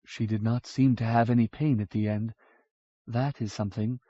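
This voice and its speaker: A man voicing a warm, teasing young mother from the grasslands, who speaks in a soft, low way. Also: steady tone, moderate pace, dry recording, natural voice. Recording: dull lo-fi audiobook recording.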